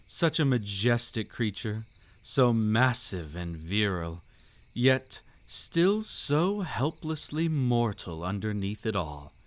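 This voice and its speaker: Man playing a slow, seductive vampire count. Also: fairly low pitch, very animated. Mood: haughty, amused. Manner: velvety, taunting, theatrically aristocratic, drawn-out delivery. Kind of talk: scripted romantic roleplay audio, intimate monologue.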